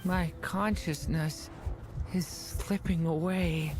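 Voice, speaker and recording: A woman voicing a frightened, weary young boy in a video game, speaking in a halting, earnest way. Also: on the low side, steady tone. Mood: weak, faltering. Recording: game audio with loud music behind.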